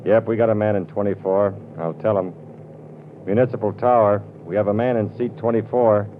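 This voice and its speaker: A matter-of-fact airline pilot, played by a man with a lowish voice in a vintage radio play. The sound is thin and phone-like, with faint hiss behind it. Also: steady tone, moderate pace, close to an everyday voice. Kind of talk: 1940s radio acting.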